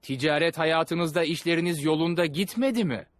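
A man's voice: fairly high pitch, moderate pace, no room sound, close to an everyday voice. A man voicing a pompous, incredulous duke in a dubbed cartoon, with theatrical mocking delivery. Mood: scoffing. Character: pompous, scoffing Duke of Venice, incredulous male ruler.

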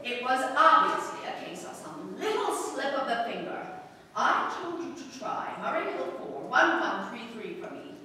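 Radio play lead: demanding, flustered society woman, high-pitched, very echoey stage recording. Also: very animated, moderate pace, pushed and bright, exaggerated voice. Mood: indignant, agitated. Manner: indignant, flustered, stammering, demanding. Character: anxious, imperious, high-strung wealthy married woman. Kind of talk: old-time radio thriller acting on stage. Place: stage of a small hall, before an audience. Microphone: far camera. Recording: echoey hall from far off, faint noise.

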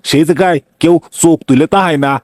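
A man voicing a flattering, obsequious henchman in a comic cartoon, with a Bangladeshi regional Bengali accent. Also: fairly high pitch, fast talker, slight background noise, lightly acted. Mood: fawning. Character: fawning, flattering male henchman of a cartoon villain.

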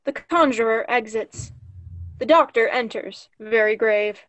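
Young woman narrating, slightly high voice. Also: unhurried, a bit bright, no room sound, muffled recording. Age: young adult. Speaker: stage directions reader. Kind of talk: scripted narration of stage directions. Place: small room at home, video call.

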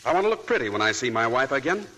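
An actor playing a coldly calm escaped murderer who talks in a quiet, threatening way, in 1940s radio acting. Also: male voice, medium pitch, rapid-fire. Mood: menacing. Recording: old noisy radio broadcast.

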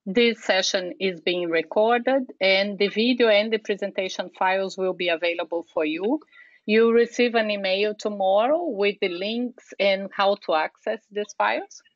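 A woman's voice: mid-range voice, normal speed, no room sound. A woman moderating a webinar, announcing in a friendly, straightforward way over a remote call microphone. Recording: dull, dry call audio.

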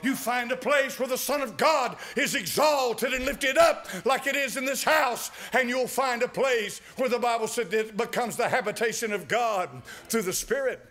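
An older man preaches from a church pulpit in an American accent. His voice sits very high and bright, and he swings from steady teaching into fervent shouts. He speaks into a pulpit mic. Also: moderate pace. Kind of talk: sermon. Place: church sanctuary.

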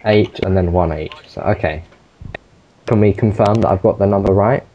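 A man's voice: sits low, moderate pace, lightly acted. A man voicing a flustered, hard-of-hearing foreign old woman who keeps mishearing numbers over a muffled phone line. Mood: confused.

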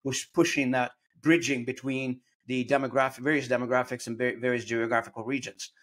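Man, podcast host, mid pitch, rambling, restarts phrases. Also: little pitch movement, a little fast, a bit bright. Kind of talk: conversational interview.

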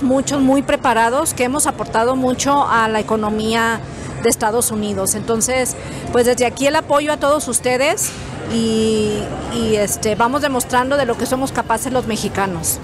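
A woman's voice: on the high side, moderate pace, background noise. A woman answering a street interview in a busy shopping area, thoughtful with hesitant starts. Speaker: interviewed passerby. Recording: crowd chatter behind.

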